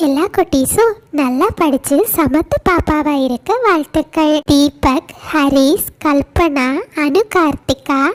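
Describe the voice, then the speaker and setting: A woman's voice: high voice, slow, no echo. A woman narrating a scripted story for children.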